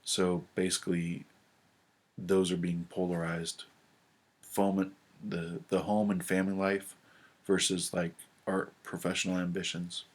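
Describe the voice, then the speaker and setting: A man's voice: deep voice, level tone, a little slow. A man with a stuffed-up, nasal voice from a cold, talking casually to camera and reading from his notes with many ums and restarts. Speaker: astrology video presenter.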